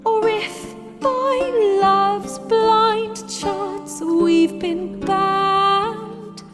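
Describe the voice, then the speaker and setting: A woman's voice: very high voice, exaggerated voice. A woman performing as a young bard in a fantasy game, singing a tender, yearning love ballad over plucked strings. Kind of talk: slow lyrical folk ballad singing. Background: lute or guitar music.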